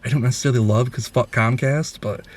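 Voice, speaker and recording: A man's voice: mid-range voice, fast talker. A man hosting a casual film review chat, talking in an enthusiastic, effusive way.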